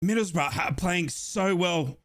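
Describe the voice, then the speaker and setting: A man from Australia streaming a football watchalong, cheering and yelling excitedly, his voice hoarse from shouting. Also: sits high, unhurried. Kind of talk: excited fan commentary.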